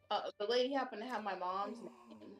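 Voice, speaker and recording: Woman streamer, animated storyteller, pitches her voice up from a deeper natural tone. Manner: animated venting, acts out quoted voices. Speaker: stream co-host. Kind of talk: casual chat. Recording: music playing behind.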